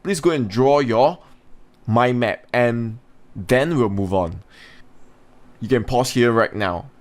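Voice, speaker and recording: A man's voice: mid-range voice, slow pace. A man teaching an English language lesson in an instructional way, talking students through points and putting questions to them. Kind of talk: scripted lesson narration with informal asides.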